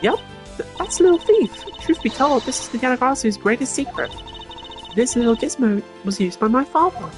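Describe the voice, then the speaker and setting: A woman voicing a spirited, idealistic teenage girl thief from a video game, with a perky, earnest, expressive delivery. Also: high voice, a little fast. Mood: confident, peppy. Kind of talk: voiced reading of video game dialogue. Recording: game music behind the voice. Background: game music.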